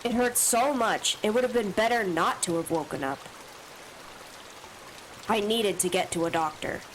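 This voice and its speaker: Slow-talking woman streamer with allergies. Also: mid-range voice, a little hiss or hum, low-quality recording. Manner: slow, reads first-person game text with asides. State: stuffy from allergies. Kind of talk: reading a visual novel aloud on a live stream.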